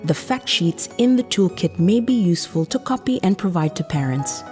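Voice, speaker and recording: A woman reading a scripted instructional narration for a training film in a measured, explanatory way. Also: on the low side, lively intonation, warm tone. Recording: music behind the voice. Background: background music.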